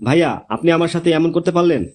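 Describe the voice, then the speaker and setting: A man's voice: a little high, expressive, quick pace, a little mellow. A man playing an aggrieved, whiny younger lad in an audio story.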